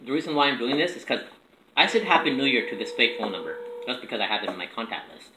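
A man's voice: mid-range voice, fast talker, pushed and bright. A young man chatting casually and making prank calls, recorded at a table in a room. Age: young adult. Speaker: prank caller filming himself. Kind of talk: casual chat and prank calling. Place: small room indoors at a table. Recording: echoey room with background noise.

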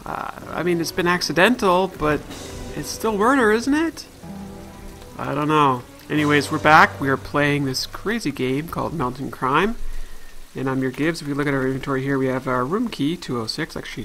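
A man's voice: medium pitch, a bit bright. Chatty man doing casual game commentary. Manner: chatty, thinking aloud. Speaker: let's play host.

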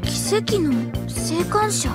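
A woman playing a timid, clumsy, apologetic high school girl in an anime audio drama, hesitant and stammering. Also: very slow, over loud music, heavily acted. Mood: meek, hesitant.

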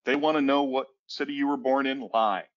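A man's voice: mid-range voice, steady tone, brisk, a bit bright. Man in his thirties, webinar presenter, headset on a video call, casual and rambling, slightly muffled audio. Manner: rambling with frequent restarts and fillers. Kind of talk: casual conversational webinar talk.

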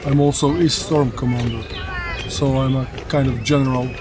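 Man, earnest, declarative, clear music bed behind. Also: mid-range voice, steady tone, moderate pace. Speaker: warrior group leader and author. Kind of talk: documentary interview.

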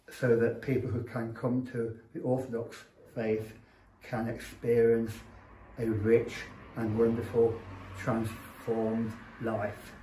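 Slow-spoken older English priest, roomy sound. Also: male voice, mid-range voice, warm tone, slight background noise. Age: older, around 70s. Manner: halting, measured, earnest. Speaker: Orthodox parish priest. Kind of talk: unscripted fundraising address to camera. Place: small indoor chapel room. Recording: roomy echo from camera mic.

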